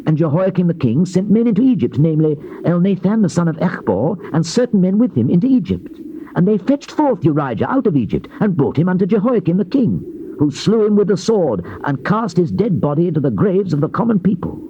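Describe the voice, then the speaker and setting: A man narrating a dramatized Bible reading in a solemn, measured, emphatic oratorical delivery. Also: sits high, sing-song. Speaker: scripture narrator. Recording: dull noisy old cassette tape.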